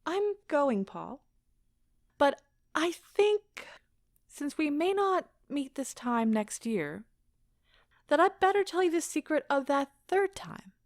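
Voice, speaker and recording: An actress performs a wounded, bitterly defiant wife in an audio drama. Her high voice moves slowly and deliberately, heavy with impassioned theatrical feeling. The sound is close and dry. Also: sing-song, no room sound, exaggerated voice. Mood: resigned, sorrowful.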